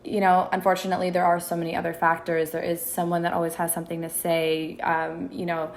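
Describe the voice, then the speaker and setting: A woman's voice: on the low side, completely flat delivery, quick pace, creaky, a little hiss or hum. A woman in her twenties from Minneapolis, a content creator, chatting casually as a podcast guest with lots of restarts. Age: 20s. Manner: chatty, many restarts and fillers. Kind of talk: casual interview chat.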